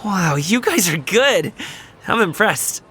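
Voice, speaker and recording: A man's voice: sits high, sing-song, slow pace, music in the background, full character voice. A man voicing a happy-go-lucky young delivery worker in a game, upbeat, chatty and eager to help. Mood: impressed, playful. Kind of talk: animated game voice acting.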